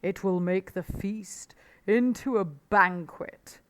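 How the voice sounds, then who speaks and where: A woman voicing a confident, commanding father fox in a storybook, speaking with deliberate emphasis. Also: mid-range voice, lightly acted. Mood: pleased, cautioning.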